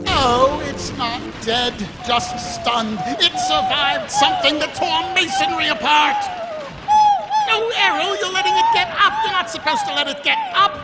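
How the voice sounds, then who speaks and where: An incredulous, bossy watch captain for a live radio play. The voice sits very high and jumps around in pitch as he exclaims. It is a noisy recording in a ringing hall. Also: wildly swooping pitch, unhurried, over a noisy crowd, full character voice. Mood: shouting, alarmed. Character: exasperated, gruff male city watch captain. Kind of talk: live stage radio drama acting.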